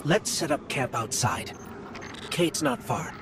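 A man's voice: on the high side, big pitch swings, slow pace, creaky, background noise, heavily acted. An actor voicing a small male robot companion in a video game, loyal, caring and anxious, speaking in an earnest, articulate way. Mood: concerned.

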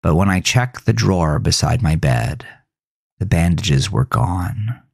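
A man reading scripted first-person horror narration in a dramatic, deliberate storytelling manner, as a podcast host. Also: deep voice, lively intonation, gravelly, husky, no room sound, clean studio sound.

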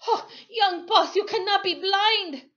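Woman voicing a protective old sailor, pitched up. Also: takes it slowly, fairly bright tone, dull audio, full character voice. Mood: alarmed. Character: gentle old West Indian sailor, big man, protective.

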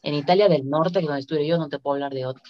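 A woman's voice: sits low, fast. A middle-aged woman from Latin America chatting informally as an interview guest. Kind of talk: casual conversational interview. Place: indoor room, on a video call. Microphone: video call device microphone. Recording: dull, lo-fi video call audio.

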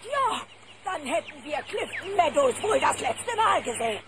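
A motherly older bear, frets through a cartoon audio play. The voice is high and animated, on an old cassette with busy sounds behind it. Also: unhurried, over a noisy crowd. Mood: dry, put out.

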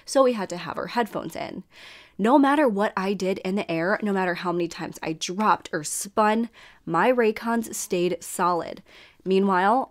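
A young woman in her twenties hosting a true crime show, reading her script to camera in a small room at home, her voice a little scratchy and stuffy from a dry throat. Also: medium pitch. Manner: earnest, measured storytelling.

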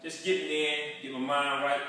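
Upbeat gym owner, echoey distant audio. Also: male voice, a little high, flat delivery, normal speed, pushed and bright. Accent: Southern US. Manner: upbeat, boastful salesman patter. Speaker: gym owner giving a tour. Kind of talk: casual walking tour. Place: indoor gym, echoey rooms. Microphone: handheld camera following him. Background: music playing in the gym.

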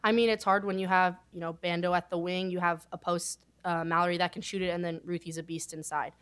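A young woman basketball player answering reporters at a postgame press conference, quick and relaxed with frequent fillers, into a table microphone. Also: fairly low pitch, smooth. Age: young adult.

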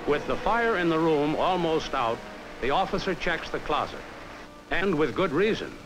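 An American man reading a scripted 1950s training film narration in a measured, instructive, carefully enunciated way, on a vintage film soundtrack. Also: on the high side, very animated, rough recording. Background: background music.

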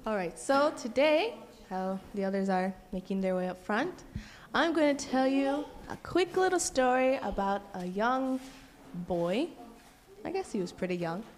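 A woman's voice: a little high, expressive, moderate pace. A woman telling a children's story in a church, coaxing and checking in with the children. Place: small church sanctuary.

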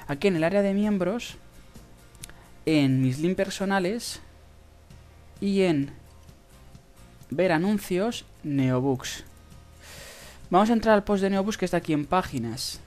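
A speaker with a Spain Spanish accent giving a casual screen-recorded tutorial, in a halting, hesitant way with drawn-out pauses. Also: medium pitch, expressive, a little mellow. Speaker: video tutorial host. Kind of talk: casual screen-recorded tutorial about earning money online. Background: background music.

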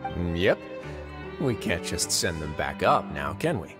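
An actor playing a composed, wry young duke in a video game, measured and dryly courteous. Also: male voice, low-pitched, sing-song. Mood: wry, obliging. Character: composed, wry young duke ruling an underwater prison fortress. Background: music.

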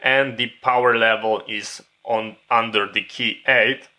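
Bright-voiced male instructor, unhurried. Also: on the low side, fairly even intonation. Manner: methodical, step-by-step. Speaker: instructor demonstrating radio programming. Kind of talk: hands-on tutorial explanation.

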